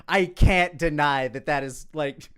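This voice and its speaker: A man's voice: fairly high pitch, a little slow, fairly bright tone, clean tone. A man giving casual creator commentary in a rambling, profane, conversational way. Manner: rambling, profane, quotes lines aloud.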